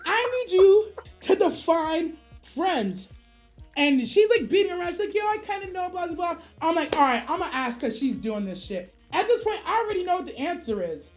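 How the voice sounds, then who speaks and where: A woman's voice: extremely high pitch, sing-song, normal speed, faint music behind. A woman streamer telling a casual story in profane slang, acting out both sides of the dialogue.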